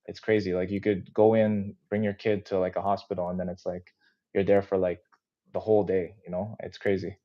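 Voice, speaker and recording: A man's voice: sits low, little pitch movement, a little fast. A man hosting a podcast interview, chatting casually and rambling with lots of fillers and restarts. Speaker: podcast host. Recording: dull muffled lo-fi audio.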